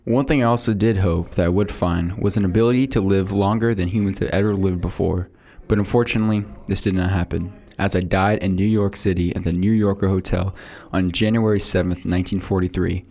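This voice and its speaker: A man's voice: low-pitched, fairly even intonation, a little fast, a little mellow. A man playing a boastful male inventor of the 1800s, reading a prepared script aloud in a school podcast role-play. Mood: matter-of-fact.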